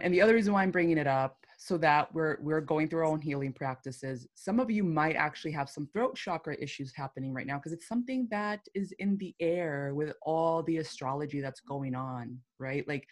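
A woman co-hosting a podcast in casual chat, playful and chatty with many "like" fillers and a low, deep voice. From United States. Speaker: podcast co-host.

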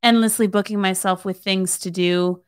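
A woman's voice: mid-range voice, fairly even intonation, normal speed, smooth. Chatty woman in her late twenties. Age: 20s. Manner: chatty, filler-heavy, laughs easily. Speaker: guest singer-songwriter. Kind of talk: casual podcast chat.

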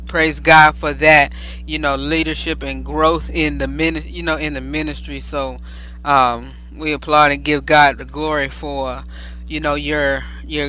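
A man's voice: a little high, sharp tone, slight background noise, low-quality recording. A man hosting a talk show, interviewing in a rambling way, with many fillers and frequent affirmations. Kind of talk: interview conversation.

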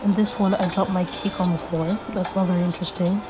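A young woman hosting a casual solo podcast, a little congested from being sick, rambling with lots of fillers as she thinks out loud. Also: lowish voice, little pitch movement, fast, over music. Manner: rambling, filler-heavy, thinking aloud while flipping pages. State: congested from a recent illness. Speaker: podcast host.

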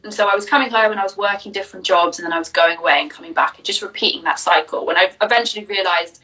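A woman's voice: medium pitch, racing, sharp tone, recorded from a distance, poor audio. A young woman from Jersey, a business coach, chatting openly in an interview and telling her story with plenty of fillers. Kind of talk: casual interview answers.

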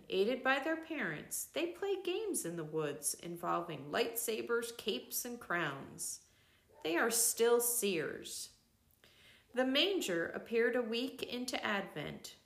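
Slow, measured older woman reading aloud. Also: mid-range voice. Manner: gentle, measured, pauses between phrases. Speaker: reader of a devotional book. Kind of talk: slow reflective book reading.

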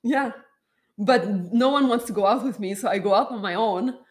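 A woman co-hosting a podcast, telling her stories in a relaxed, casual way that speeds up when she gets excited. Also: a little high, moderate pace. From part Moroccan family. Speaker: podcast co-host. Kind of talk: casual chat.

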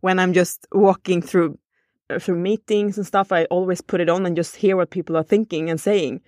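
A woman's voice: on the low side, steady tone, moderate pace, mellow, no echo, clean studio sound. A woman, chatting casually on a podcast and thinking aloud with fillers.

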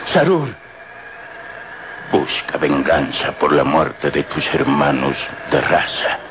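Halting, dying old villager, very slow. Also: male voice, deep voice, heavily acted. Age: older. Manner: halting, gasping, repeating words. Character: dying old male Egyptian villager, grief-stricken, vengeful. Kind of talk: melodramatic radio serial acting. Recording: muffled old radio recording, clear noise.

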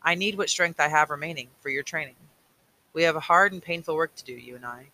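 A man reading an audiobook, voicing a tired, patient old male mentor who speaks slowly and earnestly. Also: on the high side, bright, forceful tone, poor audio. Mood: solemn.

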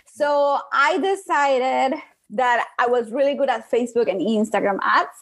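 A woman's voice: sits high, a little slow, a bit bright. A young woman with a Colombian accent and Spanish as her first language, telling her own story as a guest in a conversational interview. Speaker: podcast guest, digital marketing business owner.

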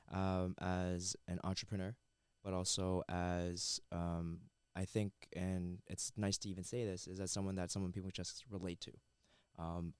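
A man from Canada, a chef and entrepreneur, chatting casually as a podcast guest in a studio, relaxed and full of fillers. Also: deep voice, clean tone, no echo. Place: recording studio. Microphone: headset mic and headphones.

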